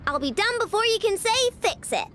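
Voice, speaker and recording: A woman's voice: extremely high pitch, big pitch swings, fairly quick, pushed and bright, a little hiss or hum, full character voice. A woman voicing a proud, prickly young girl inventor in a video game. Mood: flustered boastful. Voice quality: bratty, boastful.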